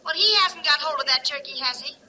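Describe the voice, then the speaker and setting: Very bright, high-pitched mother. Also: fast talker. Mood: concerned, indulgent. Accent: American. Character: easily surprised, indulgent housewife mother of teenager. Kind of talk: old-time radio comedy acting. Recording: vintage radio recording with faint noise.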